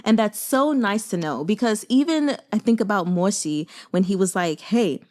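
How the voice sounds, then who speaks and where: An American woman talks through a book in a casual, conversational style. Her voice sits at a middling pitch. She is expressive and leans into emphatic exclamations as she shares her reactions. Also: moderate pace. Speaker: book reviewer and host. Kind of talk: casual chatty book commentary.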